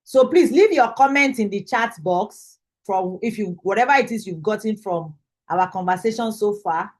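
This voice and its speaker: A woman with a Nigerian accent hosts an online course. Her voice is mid-pitched, and she presents as an instructor on a video call. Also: lively intonation, moderate pace.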